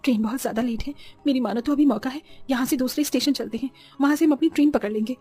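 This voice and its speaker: A scheming, scolding village mother from a horror cartoon, played by a woman. She talks quickly and presses every point insistently. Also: sits high, warm tone, slightly rough, soft background music. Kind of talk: animated horror story dialogue.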